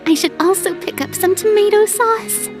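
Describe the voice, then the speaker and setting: A woman's voice: very high voice, moderate pace, over music. A woman playing a theatrical, proud and playful young celebrity in a video game, with a pompous, dramatic manner. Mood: incredulous, wry.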